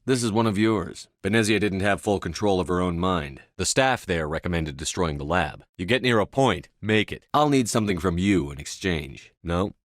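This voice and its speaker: Stern sci-fi military hero, deep voice, dry close recording, terse, authoritative, video game dialogue. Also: male voice, lively intonation, moderate pace, few pauses, no echo. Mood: dry, firm. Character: stern, commanding male space soldier hero, adult.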